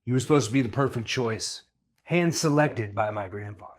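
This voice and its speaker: Man playing a husky, cruel, wealthy husband. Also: medium pitch, fairly quick, lightly acted. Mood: scornful. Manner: curt, biting, commanding. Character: cold cruel wealthy husband, contemptuous and domineering. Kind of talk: audio drama acting.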